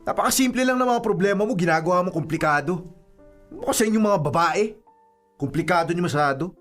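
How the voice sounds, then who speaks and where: Young boyfriend: argumentative, coaxing, dismissive, radio drama with faint music. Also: male voice, sits high, expressive, fast, fairly bright tone. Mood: stubborn, irritated. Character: young boyfriend, pleading yet stubborn and dismissive. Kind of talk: radio drama acting in Tagalog.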